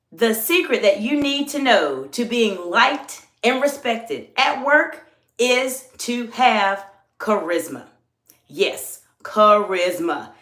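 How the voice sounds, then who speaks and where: A woman's voice: on the high side, bright, forceful tone. Southern-accented woman coaching live, echoey room. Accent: Southern US mixed with New York. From American South. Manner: drawn-out emphatic words, reads chat aloud. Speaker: executive coach and channel host. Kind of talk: live-stream coaching talk.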